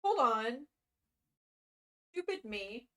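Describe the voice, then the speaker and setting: A woman with a fairly high voice and an unhurried pace, livestreaming. She is expressive and self-deprecating, drifting between reading aloud and rambling informally. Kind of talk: casual livestream chat.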